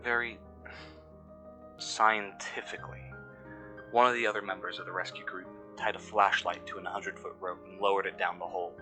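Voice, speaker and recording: A man acting as an uneasy, cynical excavation worker, recording a fictional audio log in measured, brooding, scripted narration with pauses mid-sentence. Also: sits low, flat delivery, bright, forceful tone, soft background music. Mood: grim, resigned. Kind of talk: scripted first-person mystery narration.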